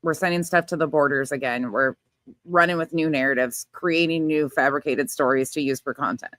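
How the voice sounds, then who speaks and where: A woman with a low voice gives informal online commentary. She is blunt and exasperated and swears casually. The sound is dry, with little room to it. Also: fairly quick, a bit bright, no room sound. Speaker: online commentator reacting to another streamer.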